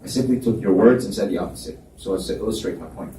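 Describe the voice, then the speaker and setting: A reserved, analytical young college man played by a male narrator talks in a measured, slightly hesitant way. His voice is lowish and rather dark, heard across an echoey room. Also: natural voice. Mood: cool, argumentative. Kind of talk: live dramatic reading of romance fiction dialogue. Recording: echoey room, distant mic, background noise.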